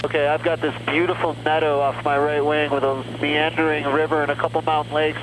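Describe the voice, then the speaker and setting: A man's voice: a little high, little pitch movement, a little fast. A man working as a mountain flying instructor, coaching and making radio calls on an aviation headset. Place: small aircraft cockpit in flight. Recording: loud aircraft cockpit noise, radio.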